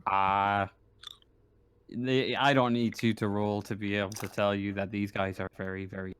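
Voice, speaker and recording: A man running a tabletop roleplaying game as game master, improvising casually and haltingly, often pausing to think aloud. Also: on the low side, little pitch movement, a bit bright, clean tone.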